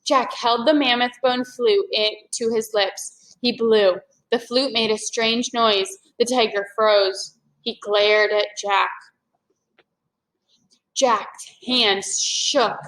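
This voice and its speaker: A woman's voice: a little high, fairly even intonation, slow pace, fairly bright tone, rough recording. A woman reading a children's story aloud expressively, as if to a young class.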